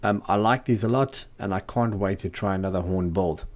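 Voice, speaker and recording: Low-voiced man narrating a DIY build. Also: moderate pace. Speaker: host, DIY speaker builder. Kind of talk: scripted narration of a build tutorial.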